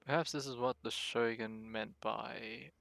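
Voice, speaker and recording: A man's voice: mid-range voice, steady tone, a little slow, gravelly, a bit bright, no echo. A man streaming a video game, casually reading dialogue aloud and adding his own comments.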